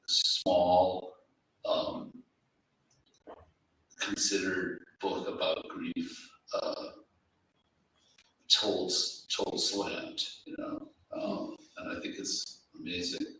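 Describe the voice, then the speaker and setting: A man with a low, bright-edged voice talks unhurriedly about literature at a live panel. He is halting and reflective, feeling his way through his thoughts. The recording is very echoey, picked up at a distance in an indoor room. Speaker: publisher and editor discussing poetry. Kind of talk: unscripted literary panel talk. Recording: very echoey room, distant mic, faint noise.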